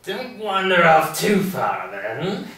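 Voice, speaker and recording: A man's voice: on the high side, big pitch swings, takes it slowly, creaky, fairly bright tone, heavily acted. A man voicing a manic, taunting male villain clown at a table reading, breaking into sudden shrieking laughs. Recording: echoey room from a distance.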